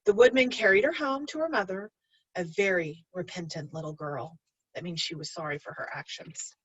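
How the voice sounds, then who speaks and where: A woman's voice: lowish voice, moderate pace, slightly rough, no room sound, muffled recording. A woman reading a children's story aloud expressively, like a teacher addressing pupils.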